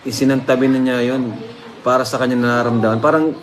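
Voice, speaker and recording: A man's voice: medium pitch, steady tone, fast talker. A man hosting a reaction video, commenting casually to his viewers and repeating phrases for emphasis. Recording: clear background noise.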